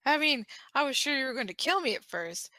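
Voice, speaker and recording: A man playing an excitable, melodramatic young man in an amateur fan-fiction audio drama. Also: extremely high pitch, a little fast, heavily acted. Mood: exhilarated. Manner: melodramatic, exclamatory.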